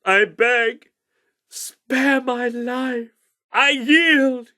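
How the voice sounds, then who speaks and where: A man's voice: very high-pitched, fairly even intonation, very slow, bright, forceful tone, full character voice. An actor voicing a pompous theatrical man in a video game, declaiming in archaic, mock-Shakespearean bombast. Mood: pleading. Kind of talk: video game character voice lines.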